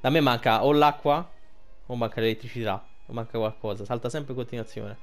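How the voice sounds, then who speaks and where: A man chats casually through a game playthrough. His voice sits at an ordinary middle pitch, and he swears freely in loose, colloquial speech. Faint game music plays behind him. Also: fairly even intonation, fairly quick. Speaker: video game streamer. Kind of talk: casual game commentary with reading dialogue aloud. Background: video game music.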